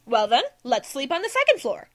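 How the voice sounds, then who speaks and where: A woman's voice: sits high, wildly swooping pitch, a little fast, no room sound, studio quality. A woman playing a bossy, brash, headstrong teenage schoolgirl club chief in an audio drama, with a commanding manner. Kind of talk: animated audio drama acting.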